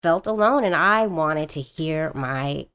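A woman's voice: sits low, animated, slow pace, fairly bright tone, clear, resonant tone. A woman co-hosting a podcast, talking casually and earnestly, often restarting and repeating her words. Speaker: podcast co-host. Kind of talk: casual conversation.

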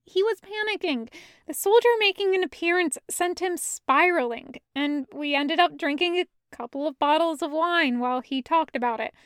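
Woman voicing a careful, softer-toned concerned boyfriend. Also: sits high, no echo, clean studio sound. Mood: careful, placating. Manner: careful, hesitant, measured. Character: gentle, concerned, careful man soothing his girlfriend.

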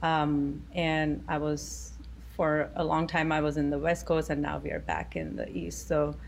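A woman's voice: low-pitched, measured pace, vocal fry, a little hiss or hum. A middle-aged woman from India, answering interview questions thoughtfully over a video call with frequent ums. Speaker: guest.

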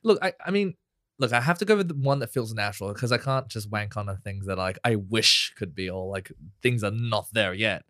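A man co-hosting a casual podcast, laid-back and rambling, quick to laugh. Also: lowish voice, a bit bright, no room sound, studio quality. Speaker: podcast co-host.

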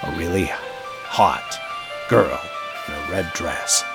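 An actor playing a wistful man who narrates memories of his youth in deliberate, broken phrases for an audio drama. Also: low-pitched, creaky, loud music behind the voice, exaggerated voice. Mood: wistful, brooding. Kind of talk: first-person scripted narration.

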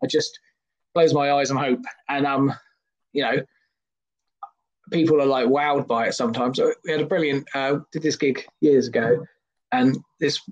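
A man's voice: medium pitch, steady tone, normal speed, pauses often, highs cut off. A man in his thirties, a singer and comedian, chatting casually in a self-deprecating, jokey, rambling way. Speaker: podcast guest, singer and comedian. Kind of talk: casual chat.

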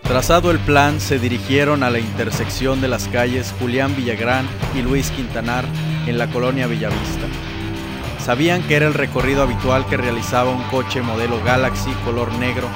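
A man's voice: medium pitch, fairly even intonation, normal speed, over loud music. A man with a Mexican accent narrating a scripted radio chronicle in a formal, serious manner.

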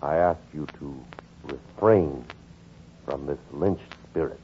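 An actor playing a solemn rabbi, declaiming measured, emphatic pleas for tolerance in 1940s radio drama style. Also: male voice, rumbling low, warm tone, a little hiss or hum. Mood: impassioned, stern. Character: solemn rabbi pleading for tolerance at a heated meeting. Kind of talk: 1940s radio acting, oratory. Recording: muffled, tinny 1944 radio recording.

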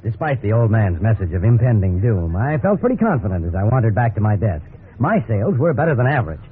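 A man's voice: fairly low pitch, big pitch swings, fast talker. An actor playing a breezy, incredulous American salesman husband in a 1950s radio drama, wry and conversational. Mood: wry, confident. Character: cheerful, incredulous suburban husband, an encyclopedia salesman. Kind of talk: 1950s radio drama acting with wry first-person narration. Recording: tinny, hissy 1950s radio recording.

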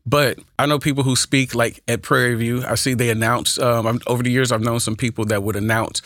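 A man's voice: mid-range voice, flat delivery, brisk, a bit bright, dry recording, studio quality. Earnest man with an Oakland accent. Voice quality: stutter and lisp. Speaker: speech and debate coach. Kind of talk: conversational interview. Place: small room.

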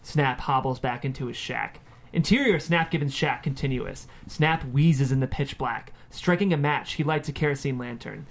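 A man's voice: medium pitch, moderate pace, gravelly. A man reading screenplay stage directions as scripted narration at a table read.

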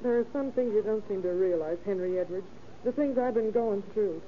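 A woman's voice: medium pitch, brisk, natural voice. Woman acting a weary, reproachful wife, muffled recording. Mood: ashamed, scolding. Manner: scolding, earnest, dramatic. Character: weary, reproachful, long-suffering wife and mother. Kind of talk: 1930s radio melodrama acting. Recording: dull, hissy old radio recording.

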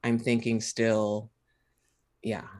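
Thoughtful californian, law background, telling family stories in a relaxed chat. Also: mid-range voice, extremely slow. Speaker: lawyer, podcast guest. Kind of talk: casual conversation.

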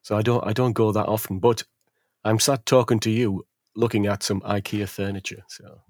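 A man hosts a relaxed podcast conversation, pausing often with fillers as he goes. His voice sits fairly low, and the sound is dry and close with little room to it. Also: dry recording. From England. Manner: relaxed, hesitant, with frequent fillers. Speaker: podcast host. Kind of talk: casual chat. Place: at home near some furniture.

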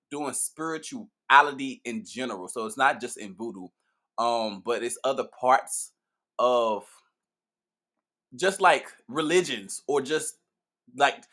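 Male guest, bright clear tone, emphatic long explanations. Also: medium pitch. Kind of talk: casual interview chat.

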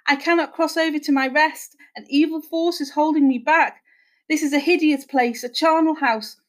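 A woman's voice: high-pitched, fairly even intonation, brisk, pitched above the performer's own voice, lightly acted. A woman voicing the tearful ghost of a dead wife, wailing and imploring as in a spooky story.

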